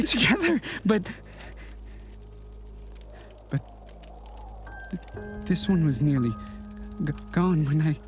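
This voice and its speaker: A man's voice: high-pitched, darkish tone. Man acting a stammering, lovelorn possessed soul. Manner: halting, stammering, repeating words. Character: anguished, lovelorn possessed man, halting and unhinged. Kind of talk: horror audio drama acting.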